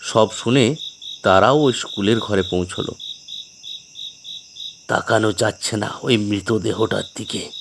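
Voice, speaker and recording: A man's voice: on the low side, measured pace, creaky, pauses often, a little hiss or hum. A man narrating a scripted horror story in a dramatic storytelling style.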